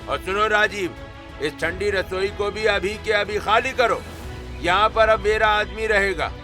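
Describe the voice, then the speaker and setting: A man's voice: high voice, pushed and bright, pitched above the performer's own voice. A man voicing a greedy, domineering village landlord in a cartoon moral story, speaking in threats. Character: menacing greedy male village landlord and moneylender.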